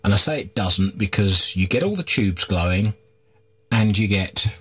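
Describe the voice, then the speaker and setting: A man's voice: on the low side, expressive, normal speed, heavy background noise. A middle-aged man giving informal, candid hands-on commentary as he presents a repair video. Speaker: host of a radio repair video. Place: small cluttered workshop, indoors.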